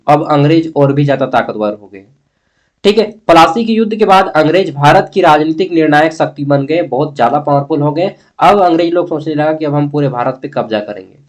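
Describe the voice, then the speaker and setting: Guy w bihar-jharkhand hindi accent doing exam prep lesson, slightly high voice, chatty, keeps asking then answering himself. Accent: eastern Indian Hindi, Bihar-Jharkhand. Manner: colloquial, repeats points, asks and answers own questions. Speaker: history teacher. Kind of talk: informal exam-prep lesson, explaining a text.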